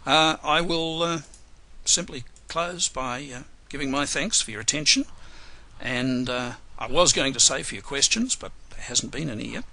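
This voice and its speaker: A man losing his voice, hoarse and chatty, teaching a live software webinar with dry, self-mocking humor. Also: medium pitch, expressive, normal speed. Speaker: software trainer.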